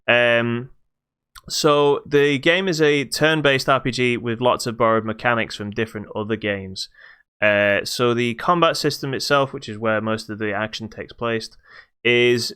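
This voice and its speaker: Clear, bright-voiced male podcast co-host. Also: medium pitch. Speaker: podcast co-host reviewing games. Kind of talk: casual unscripted game review chat.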